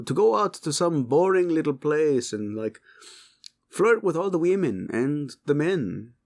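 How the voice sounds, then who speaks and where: A cheeky tiefling soldier from a fantasy audio drama, put on by a man. The voice is pitched up high and swings about. The talk is chatty, flirtatious and flippant. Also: exaggerated voice. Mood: playful, indignant. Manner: animated, chatty, joking.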